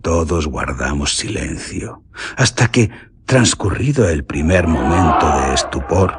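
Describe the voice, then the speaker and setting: A man's voice: a bass voice, lively intonation, measured pace, loud music behind the voice, full character voice. An actor playing a grave, ominous bandit who tells his story theatrically in a radio horror drama, with a Castilian Spanish accent. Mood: dramatic, ominous. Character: bandit recounting his gang's dark deeds, grave and ominous.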